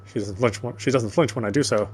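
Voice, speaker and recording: A man with a mid-pitched voice reads story narration from a visual novel. He takes it in a measured, literary manner, occasionally tripping on a phrase and going back over it. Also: darkish tone, slightly rough. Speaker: let's player reading game text.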